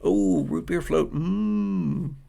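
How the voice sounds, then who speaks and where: A man plays a friendly young woman from the 1950s in a tabletop roleplaying game. The voice is lifted and creaky, and the talk is chatty, gushing and enthusiastic. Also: fairly high pitch, wildly swooping pitch, very slow, dark tone, exaggerated voice.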